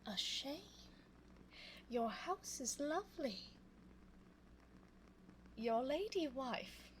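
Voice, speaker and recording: Casting: woman playing a scheming immortal vampiress for ASMR roleplay; high, breathy, slow, formal and teasing. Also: slight background noise, full character voice, low-quality recording. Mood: smooth, flattering. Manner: formal, coy, teasing, drawn-out phrasing. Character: seductive, scheming immortal female vampire, falsely frail. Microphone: close binaural microphone.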